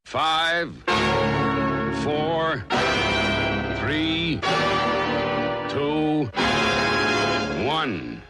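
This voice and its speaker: An actor playing a dramatic, emphatic announcer for a 1960s puppet adventure series. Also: male voice, fairly high pitch, animated. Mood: rousing. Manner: slow, emphatic declaiming. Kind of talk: 1960s television title announcement. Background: loud music.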